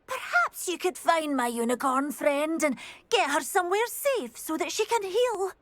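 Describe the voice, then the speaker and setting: An actress playing an anxious, tender-hearted game character who dotes on a beloved creature, speaking in a fretful, earnest, effusive way. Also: female voice, very high-pitched, sing-song, normal speed, a bit bright, full character voice. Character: anxious, tender-hearted woman fretting over her beloved unicorn. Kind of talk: video game character dialogue.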